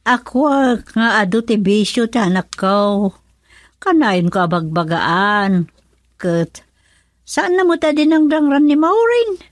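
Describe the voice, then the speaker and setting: A woman's voice: mid-range voice, big pitch swings, slow pace, no room sound, studio quality. A woman playing a defensive, scolding older mother-in-law in a radio drama, with an Ilocano Filipino accent. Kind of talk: melodramatic radio drama acting.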